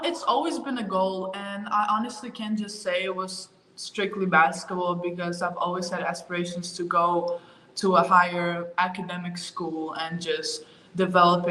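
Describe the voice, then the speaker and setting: A young woman from Ukraine, answering interview questions in a relaxed, easygoing way with plenty of fillers. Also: lowish voice, a little hiss or hum. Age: young adult. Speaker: college basketball player. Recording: echoey room.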